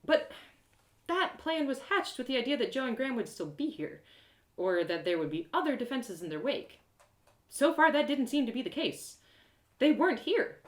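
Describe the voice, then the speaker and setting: A woman narrating a first-person story in an animated, theatrical way, with dramatic pauses. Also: sits high, echoey room. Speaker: storyteller performing an audio drama live.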